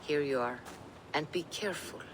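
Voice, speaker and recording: An actress playing a calm, careful brewer of potions in a video game, speaking in a measured, cautioning way. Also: female voice, lightly acted. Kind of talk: dramatic video game acting. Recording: clear rumbling background noise.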